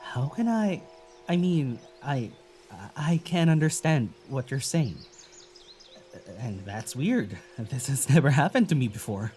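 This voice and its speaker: Slow, mellow, hesitant young traveler. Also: medium pitch, big pitch swings, slight background noise. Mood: wondering. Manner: hesitant, musing, halting. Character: gentle, wary young traveler conversing with an unseen spirit. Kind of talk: naturalistic audio drama monologue.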